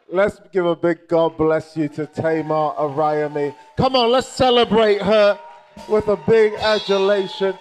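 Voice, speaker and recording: A man's voice: high voice, darkish tone. A young adult man from Nigeria preaching a playful, charismatic sermon and rousing the crowd with call-and-response.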